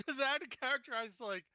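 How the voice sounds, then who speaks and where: A man's voice: extremely high pitch, brisk, sharp tone, no room sound, highs cut off. A man chatting casually as a player in a tabletop game, speaking into a close microphone.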